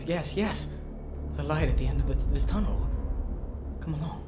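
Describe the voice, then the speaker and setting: A man's voice: fairly high pitch, normal speed, over music, lightly acted. A man playing a nervous, bumbling young adventurer who stammers earnestly and gets flustered in a comic adventure radio play. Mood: uneasy.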